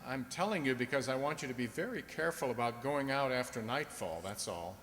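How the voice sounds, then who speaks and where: A man's voice: mid-range voice, expressive, a little fast, fairly bright tone, close to an everyday voice. A man in a radio drama playing a kind, earnest young country doctor with a hesitant, tender manner. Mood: concerned. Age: young adult. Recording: echoey hall, faint background noise.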